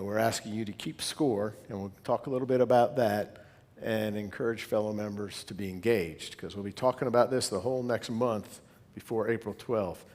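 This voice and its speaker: A man's voice: fairly low pitch, darkish tone. A man giving an informal, conversational slide presentation to fellow hobby club members, wandering into asides as he goes. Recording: long hall reverb.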